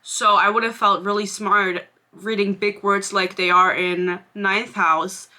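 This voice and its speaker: Young woman, German native speaker, bright crisp voice, rambling, sarcastic, laughs a lot. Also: mid-range voice, fairly even intonation, a little slow. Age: 20s. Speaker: book vlogger. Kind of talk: casual chat.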